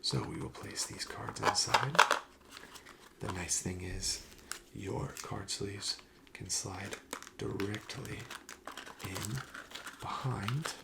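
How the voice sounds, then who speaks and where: A man speaking softly and breathily, often near a whisper, in a gentle, polite ASMR shopkeeper roleplay. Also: fairly low pitch, gravelly, very noisy. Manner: gentle, attentive, polite salesman patter. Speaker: ASMR roleplayer playing a card shop clerk. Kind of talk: soft-spoken ASMR shop roleplay.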